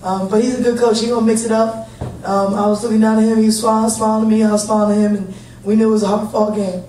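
A woman in her thirties, a head basketball coach, answering reporters at a post-game press conference in a steady, plain-spoken, upbeat way, picked up by a table mic from across a large room. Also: a little high, fast talker. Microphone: table mic, heard from a distant camera. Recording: echoey room, distant mic, faint hum.

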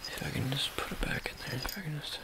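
A man in his thirties doing an ASMR surgeon roleplay, talking softly and offhandedly, often slipping into whispers, close to the mic in a small dark room. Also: quick pace. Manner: soft, rambling and offhand, drifting into whispers. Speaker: ASMR creator playing a surgeon. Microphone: close-up camera held near the face.

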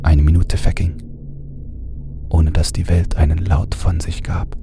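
A man narrating a horror audiobook in a hushed voice that often drops to a half-whisper. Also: low voice, flat delivery, measured pace, vocal fry, background noise. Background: music bed.

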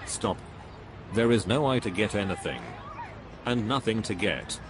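A pompous cartoon guru, acted by a man, preaching in a dogmatic, dismissive way. His pitch sits a little low and swings around a lot. Clear outdoor noise and chatter run behind him. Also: lively intonation, unhurried. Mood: preachy, dismissive. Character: pompous preachy man playing enlightened non-duality guru. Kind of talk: scripted animated dialogue.

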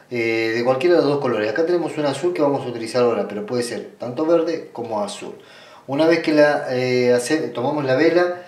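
A man's voice: mid-range voice, darkish tone. Argentine man giving instructions, distant and roomy. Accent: Argentine Spanish. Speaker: ritual practitioner giving a tutorial. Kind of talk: informal step-by-step demonstration. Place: small indoor room at a table. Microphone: camera mic away from the speaker. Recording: roomy sound, distant microphone.

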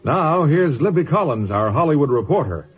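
A man's voice: fairly high pitch, animated, moderate pace, slightly rough. An American man announcing in scripted 1950s radio style. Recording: muffled old radio broadcast.

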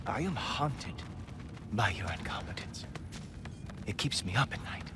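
A man's voice: on the low side, lively intonation, a little slow, noisy background. A man voicing a smug, mocking god in a video game, drawling sarcastic, theatrical taunts.

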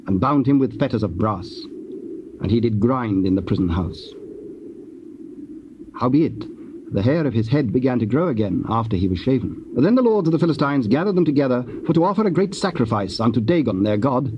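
A man narrating scripture as part of an audio drama, solemn, measured and formal. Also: medium pitch, very animated. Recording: dull, faintly noisy cassette recording.

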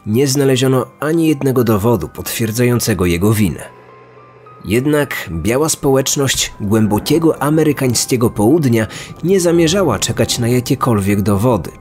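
Male podcast host, mid-pitched, scripted crime storytelling with soft music underneath. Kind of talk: scripted true-crime narration. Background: background music.

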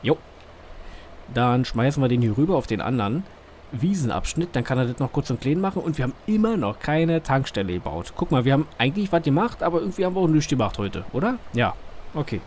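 A man's voice: medium pitch, very animated, fast, darkish tone, slight background noise. A man with a Berlin dialect, casually commenting on a farming game as the channel's host, rambling and thinking aloud with lots of fillers.

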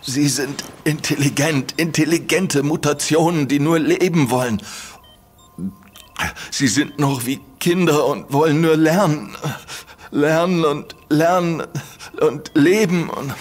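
An actor playing an infected man who fears going mad, pleading in halting, stumbling bursts in a radio play. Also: soft background music. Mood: desperate urgent. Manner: halting, stumbling pleas, pitch jumping when agitated. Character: infected man fearing madness, urgent and desperate.

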